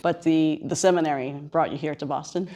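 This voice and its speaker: Female radio host, low-pitched voice, conversational interviewer on location. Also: fairly even intonation, brisk, warm tone. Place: on location at a seminary.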